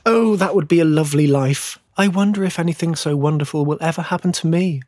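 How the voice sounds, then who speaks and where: A man's voice: on the high side, fairly quick, a little mellow, no echo, studio quality, pitched above the performer's own voice, natural voice. A man voicing a young little fir tree from a storybook, wistful, eager and vain, with a plaintive way of talking. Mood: yearning. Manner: plaintive, yearning questions and boasts.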